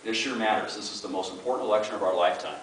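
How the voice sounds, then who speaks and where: A man's voice: lowish voice, racing. A man running for office, giving an earnest campaign speech to a room of supporters and often repeating words as he starts a sentence. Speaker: political candidate. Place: meeting room with an audience. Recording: echoey room, far from the mic, faint hiss.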